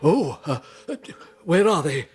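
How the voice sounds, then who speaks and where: A Victorian boarding-school headmaster in a radio drama, formal and pompous but easily flustered. His RP English voice is raspy and highish, with big swings of pitch. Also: big pitch swings, a little slow, darkish tone, heavily acted. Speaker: actor playing a headmaster.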